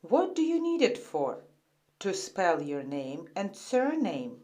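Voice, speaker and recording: Slow, sing-song woman teaching English. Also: on the low side, huge pitch swings, warm tone. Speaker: quiz host. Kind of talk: scripted English lesson narration.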